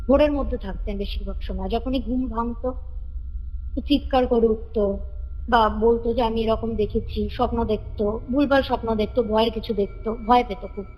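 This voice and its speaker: A woman's voice: fairly high pitch, mellow. A woman casually telling a story as a caller, in a conversational way, circling back to repeat phrases. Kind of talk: casual spoken storytelling of a family ghost story. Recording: reverberant call recording with music behind. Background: background music.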